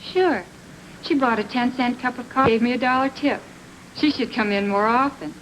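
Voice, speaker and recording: A woman playing a breezy, quick-witted waitress in a film noir radio drama. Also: a little high, fairly bright tone, close to an everyday voice. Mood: breezy, chatty. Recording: noisy vintage radio recording.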